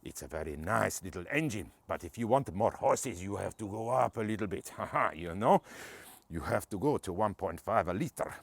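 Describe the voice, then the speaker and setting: A man's voice: lowish voice, normal speed, vocal fry, no echo, pitched below the performer's own voice. A man voicing a cheeky, boastful Spanish man reviewing a car in broken English with a put-on Spanish accent, joking as he goes. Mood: jokey. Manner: jokey, broken English, lively swoops.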